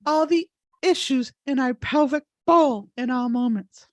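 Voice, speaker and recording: A woman's voice: high-pitched, unhurried, darkish tone, no echo, studio quality. A woman hosting a live healing session, moving between guided affirmations and informal, encouraging chat. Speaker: host, energy healer leading a live session. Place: live online video call.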